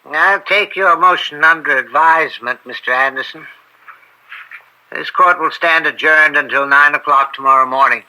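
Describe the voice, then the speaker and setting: A man acting in an old-time radio drama as an upright, conflicted middle-aged American judge, speaking gravely and deliberately with theatrical weight. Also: fairly high pitch, pushed and bright, a little hiss or hum, poor audio. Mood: formal. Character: anguished, upright judge and father, bitter and conflicted.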